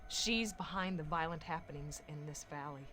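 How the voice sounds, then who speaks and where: Low-voiced bitter, accusing woman. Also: normal speed, a bit bright. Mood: bitter, grim. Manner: dramatic, emphatic, embittered. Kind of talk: live-action video game acting. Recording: faint noise and ambience.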